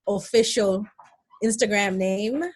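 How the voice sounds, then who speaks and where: A woman's voice: mid-range voice, steady tone, slow pace. Woman from Nigeria, conversational storyteller. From Nigeria, born in England. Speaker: interview guest.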